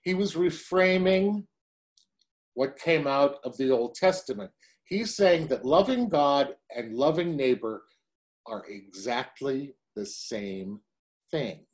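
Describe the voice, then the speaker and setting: Older male pastor, lively wry preaching. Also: mid-range voice, slow. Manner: conversational, wry asides. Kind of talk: sermon.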